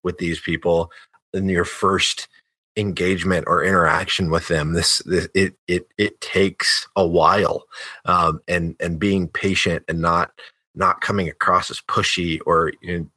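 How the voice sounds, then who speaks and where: A man's voice: deep voice, flat delivery, unhurried, pushed and bright, breathy edge, no echo. A man hosting a podcast, interviewing in a casual, conversational way. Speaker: podcast host.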